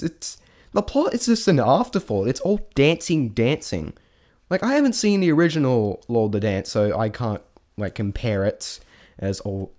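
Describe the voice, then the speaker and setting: A man giving a casual, unscripted review in a halting, rambling way, often correcting himself mid-thought. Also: mid-range voice, a little mellow. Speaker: vlogger reviewing a stage show.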